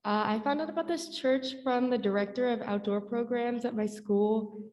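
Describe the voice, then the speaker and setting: A woman's voice: on the high side, moderate pace, darkish tone. A young woman giving a casual self-introduction as a visitor at a church microphone. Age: young adult. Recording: reverberant church hall microphone.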